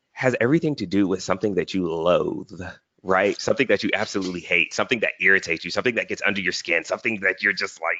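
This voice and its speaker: A man's voice: low-pitched, pushed and bright, no room sound, muffled recording. A man speaking as an interview guest in an earnest, reflective conversational way, often checking in with "right?". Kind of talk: motivational conversational talk.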